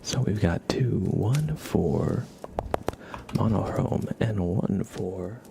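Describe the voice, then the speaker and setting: A man hosting an ASMR livestream, chatting casually in a soft, whispery murmur and gently repeating his phrases. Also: lowish voice, lively intonation, extremely slow, creaky, background noise.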